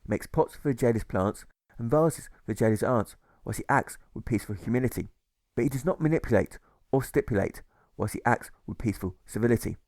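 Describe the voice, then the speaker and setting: A man reading a rhyming audiobook as scripted narration, with theatrical, measured emphasis. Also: mid-range voice, darkish tone, no room sound, clean studio sound. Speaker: author reading his own audiobook.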